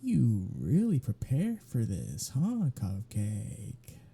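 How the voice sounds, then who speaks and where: Man acting a teasing vampire, sardonic, flirtatious, gravelly fry, lively swooping pitch, ASMR audio drama. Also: slow pace, heavily acted. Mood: flirtatious, hungry. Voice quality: husky, breathy, dark. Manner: sardonic, teasing drawl, often dropping to breathy murmurs. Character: teasing, flirtatious male vampire, sly free spirit.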